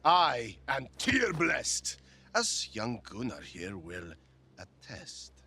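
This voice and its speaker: A man's voice: mid-range voice, wildly swooping pitch, takes it slowly, pushed and bright, slight background noise, heavily acted. A man playing a boastful, battle-seasoned Viking warrior lord in a video game, speaking with weighty drama.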